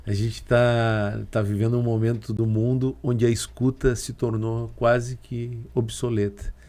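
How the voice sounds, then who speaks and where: A man with a southern Brazilian accent hosting a podcast, relaxed and a bit jokey. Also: mid-range voice, unhurried. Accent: southern Brazilian Portuguese. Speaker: podcast host. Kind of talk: podcast discussion.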